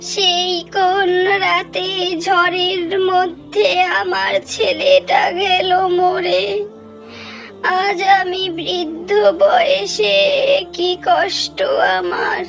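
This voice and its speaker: A woman voicing a frail, hungry old grandmother beggar in a cartoon, pleading slowly and tearfully. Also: extremely high pitch, steady tone, creaky, over music, heavily acted. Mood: tearful grief. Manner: slow, pleading, tearful lament. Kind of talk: moral cartoon voice acting.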